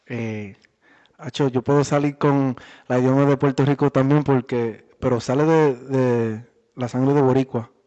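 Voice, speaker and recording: A man's voice: mid-range voice, fairly even intonation, measured pace, dark tone. A man with a Dominican accent in Spanish, answering interview questions conversationally as a boxer and guest. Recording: echoey, muffled room recording.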